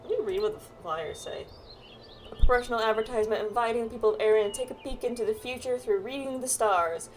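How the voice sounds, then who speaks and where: A woman's voice: on the high side, fast. A woman chatting casually through a game playthrough, reading the on-screen text aloud and stumbling now and then. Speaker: game streamer doing a playthrough. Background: game music.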